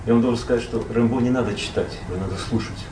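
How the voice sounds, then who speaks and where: A man from Russia, a poet and translator, recalls his past as a guest in a relaxed, rambling conversation full of fillers and self-corrections. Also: medium pitch, very fast, reverberant, distant mic, noisy background.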